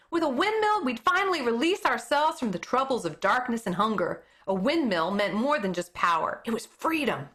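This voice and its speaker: Bright, lively woman reading aloud. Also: a little high. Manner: expressive read-aloud, corrects her own slips. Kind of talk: reading a book chapter aloud.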